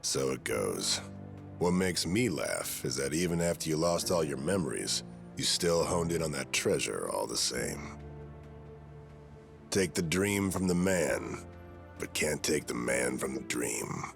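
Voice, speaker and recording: An actor playing a gruff, weathered middle-aged yakuza in a video game, wry and world-weary, with dry, clipped phrasing. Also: male voice, very deep voice, sing-song, unhurried, soft background music, exaggerated voice. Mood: wistful. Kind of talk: video game cutscene acting.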